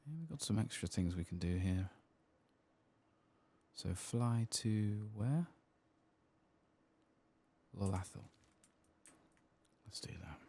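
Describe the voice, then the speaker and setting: A man hosting a gaming video in a soft-spoken ASMR style, sometimes whispering, murmuring his thoughts unhurriedly as he plays. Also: on the low side, animated, slightly rough. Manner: murmured, unhurried musing to himself.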